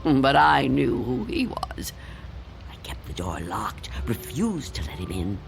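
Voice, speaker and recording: Gravelly, frightened old recluse. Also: female voice, very deep voice, sing-song, background noise, heavily acted. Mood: fearful, insistent. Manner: fearful, halting, dramatic, insistent. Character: frightened reclusive old woman hiding from Death. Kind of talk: radio drama acting. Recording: loud music under the voice.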